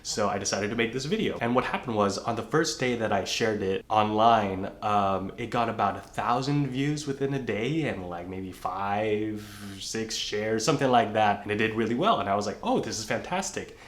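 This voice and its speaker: A man who grew up in Indonesia, telling a story in a relaxed, self-deprecating vlog while out on a run. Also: on the low side, normal speed, hardly any pauses, echoey room. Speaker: vlogger. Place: outdoors on a nice day.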